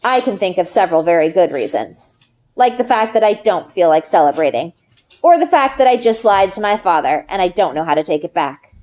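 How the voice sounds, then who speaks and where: A woman narrator giving an expressive read-aloud of a children's novel in the first person. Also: on the low side, brisk.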